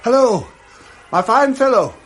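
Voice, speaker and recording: An actor playing a joyful, newly reformed elderly Englishman in a 1960s radio drama, excitable and effusive. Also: extremely high pitch, unhurried, soft background music. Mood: giddy joy. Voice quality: excitable, chuckling. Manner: exclamatory, effusive.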